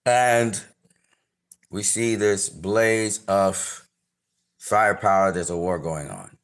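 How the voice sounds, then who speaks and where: This is a low-pitched man with a Trinidadian accent. He talks casually and at length, trailing into fillers and circling back on himself as he reacts to a trailer for his channel. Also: takes it slowly. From Trinidad and Tobago. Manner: rambling, many fillers and restarts, gives shout-outs. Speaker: channel host reviewing a trailer.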